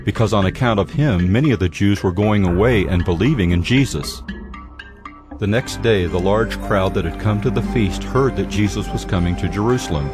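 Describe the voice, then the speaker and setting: A man narrating a Bible audio drama in a measured, solemn storytelling style. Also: lowish voice. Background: music.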